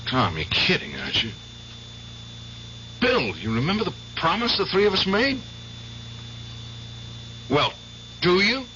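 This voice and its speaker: An actor in a radio drama playing a haunted ex-prisoner of war bent on revenge, insistent and emphatic. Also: male voice, mid-range voice, huge pitch swings, normal speed, sharp tone, heavily acted. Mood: urgent, pressing. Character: haunted, vengeful ex-prisoner of war, obsessed with killing. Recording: dull, hissy, humming old radio broadcast.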